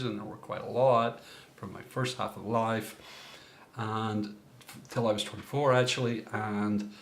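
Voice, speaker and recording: A man's voice: lowish voice, fairly bright tone, slight background noise. A middle-aged man from Ireland, a coach giving a recorded workshop talk in a reflective way, often searching for his words. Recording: roomy echo.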